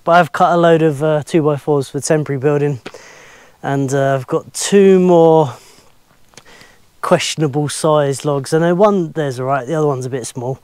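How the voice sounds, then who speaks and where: A man's voice: fairly high pitch, moderate pace, a little mellow, clean tone, no room sound. A man in his thirties filming his own building work outdoors at a sawmill yard, talking plainly and thinking aloud with fillers. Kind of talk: casual self-filmed vlog.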